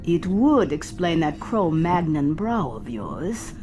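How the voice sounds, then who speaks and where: A woman's voice: low-pitched, big pitch swings, takes it slowly, over music, heavily acted. A woman playing a composed female shipboard artificial intelligence in a sci-fi drama, dry, precise and clinical. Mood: wry, reassuring. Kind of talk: science fiction audio drama acting.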